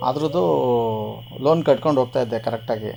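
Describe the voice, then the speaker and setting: A man's voice: medium pitch, quick pace, a little mellow. A man making a radio prank call as a confused customer, argumentative and persistently questioning. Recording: clearly noisy radio prank call recording.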